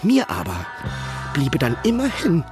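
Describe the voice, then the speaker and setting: Obsessive, lovelorn young would-be poet. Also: male voice, a little high, wildly swooping pitch, unhurried, over music. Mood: obsessive, brooding. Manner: intense, self-dramatizing. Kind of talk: radio drama diary monologue.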